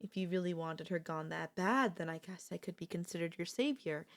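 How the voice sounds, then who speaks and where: A woman's voice: lowish voice, fairly quick, close to an everyday voice. A woman playing an obsessive, lovestruck and gleefully sinister older sister in an ASMR audio roleplay. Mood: smitten, coaxing.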